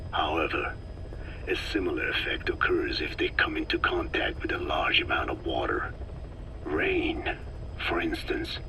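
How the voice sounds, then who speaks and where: Grave elderly researcher for a game, very low breathy rasp, solemn halting delivery, clear noise behind. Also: male voice, far from the mic, heavily acted. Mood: solemn, explanatory. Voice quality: breathy, rasping, half-whispered voice. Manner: halting, measured, solemn phrasing. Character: elderly male scientist, grave, slow, weary parasite researcher. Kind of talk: video game cutscene exposition. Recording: clear background noise under voice.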